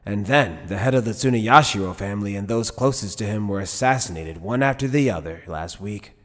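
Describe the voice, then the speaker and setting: A man playing an easygoing but shrewd middle-aged head captain in an anime fan dub, speaking in a measured, wry, casual way. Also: on the low side, natural voice. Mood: grave, measured. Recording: long hall reverb.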